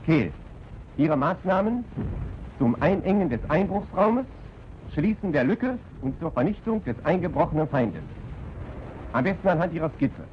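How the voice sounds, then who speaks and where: A man playing a brisk, stern German army officer in a 1940s training film, with clipped, commanding speech. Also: fairly high pitch, big pitch swings. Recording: dull, noisy 1940s film soundtrack.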